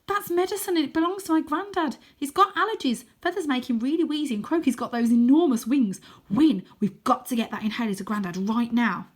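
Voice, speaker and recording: A woman's voice: high-pitched, fairly quick, hardly any pauses, voice pushed up. Woman as an anxious, bewildered young boy. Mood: alarmed, urgent. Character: anxious young boy, bewildered, urgent about his granddad. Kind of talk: children's storybook character reading.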